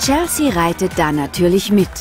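A woman's voice: fairly low pitch, big pitch swings, normal speed, music in the background, a crowd in the background. A woman narrating a scripted children's audio story.

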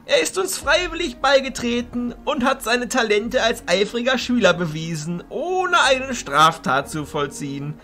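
Guy playing stern old wizard chancellor in a fantasy radio play, bright sharp voice, really animated, drawn-out emphatic phrases. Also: very high-pitched, exaggerated voice. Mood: stern, reproving. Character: elderly bearded male archchancellor, stern, protective, unhurried. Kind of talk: German fantasy audio drama acting.

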